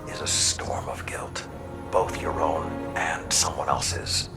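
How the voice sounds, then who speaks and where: A man playing a grave, stern old warlord and mentor with a deep, gravelly voice in a dramatic game cutscene. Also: exaggerated voice. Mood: stern, solemn. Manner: slow, weighty, measured delivery. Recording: music behind the voice. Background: dramatic score.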